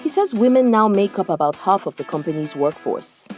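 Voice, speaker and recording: A woman narrates a scripted news profile. Her tone is dark and mellow, with a lively, wide-moving pitch. Light guitar music plays beneath her. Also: a little fast.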